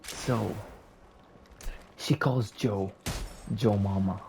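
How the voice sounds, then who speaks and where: A woman improvising a comic story for a friend, casually, in short phrases with deadpan pauses. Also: extremely low pitch, creaky, background noise.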